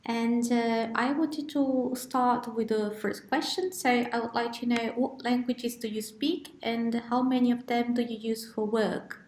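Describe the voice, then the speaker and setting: A woman's voice: fairly high pitch, steady tone, measured pace, hardly any pauses, darkish tone, echoey room. A woman interviewing a guest, asking questions politely with some hesitant fillers.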